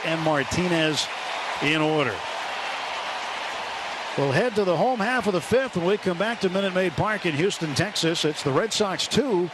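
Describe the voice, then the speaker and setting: An American man calling live baseball play-by-play for a radio broadcast. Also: on the high side. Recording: radio broadcast over clear stadium noise. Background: ballpark ambience and crowd noise.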